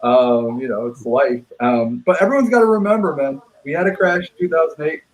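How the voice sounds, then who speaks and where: A man's voice: a little high, a little fast, rough recording. A man from Quebec who reviews whisky, chatting as a guest on a live stream. He talks in an opinionated, emphatic way and repeats words when he gets going. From Quebec, Canada. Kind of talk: casual live-stream chat.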